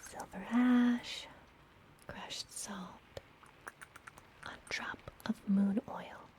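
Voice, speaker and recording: A woman playing a friendly, gentle witch healer in an ASMR fantasy roleplay, whispering softly and soothingly, as if up close. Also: mid-range voice, fairly even intonation. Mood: curious, helpful. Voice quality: soft, breathy whisper. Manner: slow, soothing, intimate personal attention. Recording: close whisper with background noise.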